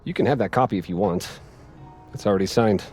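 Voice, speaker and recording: A self-important male author played by a man in a story-driven video game. He has a fairly low voice and a smooth, condescending, conversational manner. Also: fairly quick, darkish tone, soft background music, close to an everyday voice. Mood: smug, casual. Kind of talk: naturalistic video game voice acting.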